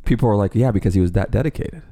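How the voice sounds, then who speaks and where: A man's voice: fairly low pitch, lively intonation, very fast, mellow. A man in casual conversation, often restarting his sentences. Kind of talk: casual chat.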